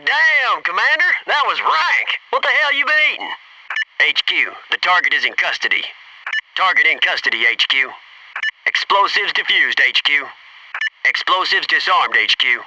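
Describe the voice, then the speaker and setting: An actor playing a cocky, wisecracking young Navy SEAL, giving clipped, urgent combat callouts in a video game. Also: male voice, high voice, far from the mic, background noise, full character voice. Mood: keyed-up, joking. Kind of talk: military game combat barks and radio callouts.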